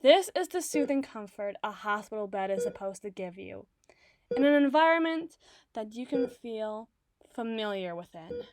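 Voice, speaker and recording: A woman playing an obsessive, doting doctor in an ASMR roleplay, speaking softly and articulately with theatrical tenderness. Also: on the high side, expressive, moderate pace, a crowd in the background. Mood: brooding, devoted. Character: obsessive, doting yandere woman doctor, soothing but possessive. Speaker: audio roleplay voice performer.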